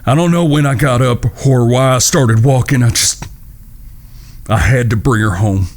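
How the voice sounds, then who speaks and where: An actor playing a grieving, steady frontier outlaw leader in a western audio drama. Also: male voice, medium pitch, normal speed, lightly acted. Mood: grief-stricken.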